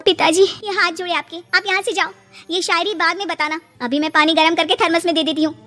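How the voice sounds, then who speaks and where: A high, dramatic young daughter-in-law from an animated family tale, performed by a woman storyteller. She is indignant and whiny, complaining theatrically with music playing behind her. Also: fast. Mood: irritated, sarcastic.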